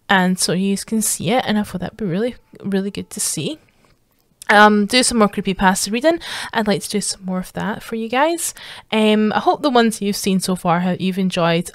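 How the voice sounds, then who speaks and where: A woman's voice: medium pitch, dry recording, clean studio sound. Chatty woman with an Edinburgh accent. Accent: Edinburgh Scottish. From Scotland. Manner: rambling, full of fillers and restarts. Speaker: streamer. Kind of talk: casual chat to viewers.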